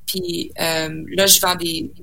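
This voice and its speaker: A woman's voice: on the low side, level tone, takes it slowly, creaky, sharp tone, rough recording. A young woman from Saskatchewan, a singer guest in a casual interview, talking hesitantly with many fillers and restarts and slipping in English words. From Saskatchewan, Canada.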